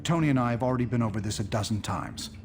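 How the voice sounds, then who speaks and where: An actor playing an analytical, worried scientist superhero, speaking precisely in a game cutscene. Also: male voice, mid-range voice, animated, very fast, over music, natural voice. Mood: explaining. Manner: precise, explanatory. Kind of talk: dramatic video game cutscene acting.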